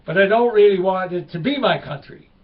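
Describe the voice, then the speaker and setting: A man's voice: high voice, brisk, distant mic, voice pushed up, natural voice. A man playing a touchy Quebecer tourist, choppy English, lo-fi sound. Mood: exasperated. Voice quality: broken English. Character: excitable Quebecer man, touchy about country.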